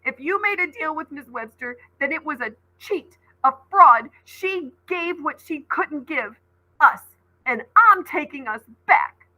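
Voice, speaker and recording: A woman's voice: sits high, animated, unhurried, sharp tone, pitched above the performer's own voice, heavily acted, low-quality recording. A woman voicing a clever, defiant young girl heroine in a storybook, bold and emphatic. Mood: defiant, sure.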